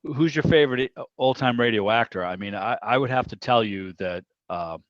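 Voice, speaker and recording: A man's voice: medium pitch, normal speed, dry recording. A man hosting a club video meeting, chatting casually and wandering from one thought to the next. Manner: rambling, digressive, conversational. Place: remote video call. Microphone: video call microphone. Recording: dull, muffled video-call audio.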